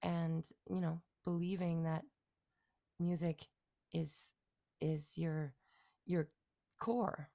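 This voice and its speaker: A woman from Canada in her forties, a musician guest talking through her career in a relaxed, rambling way with fillers and restarts. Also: deep voice, extremely slow, gravelly, pauses often. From Canada, near Toronto. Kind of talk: casual interview conversation.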